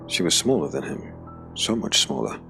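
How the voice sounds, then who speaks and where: Very deep, gravelly male narrator. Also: sing-song, moderate pace, pushed and bright. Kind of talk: dramatic audiobook narration, dark fantasy. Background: background music.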